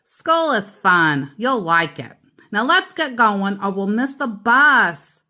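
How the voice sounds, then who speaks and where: A woman's voice: medium pitch, sing-song, takes it slowly, sharp tone, pitched above the performer's own voice, heavily acted. A woman voicing a young boy bear, a confident and bossy older brother in a children's storybook. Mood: reassuring, bossy.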